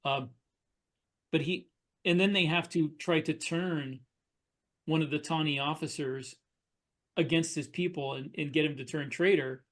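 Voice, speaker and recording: A man co-hosting a podcast as an author, talking casually and haltingly about writing fiction. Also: fairly high pitch, steady tone, fairly bright tone.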